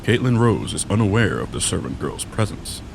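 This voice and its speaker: A man's voice: on the low side, big pitch swings, a little fast, creaky, slight background noise. A man narrating a scripted gothic audio drama in a florid, dramatic manner.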